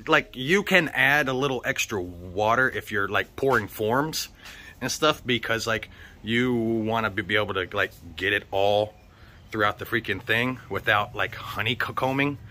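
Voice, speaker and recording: A man's voice: on the low side, moderate pace, sharp tone, slight background noise. A man talking casually and ramblingly to his viewers as a vlogger, blunt, slangy and full of swearing. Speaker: vlogger hosting a channel.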